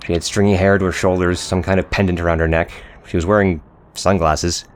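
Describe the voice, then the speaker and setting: A man playing a nervous, agitated high school boy in an audio drama, hesitantly reporting a crime. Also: flat delivery, fairly quick, smooth, close to an everyday voice. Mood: uneasy.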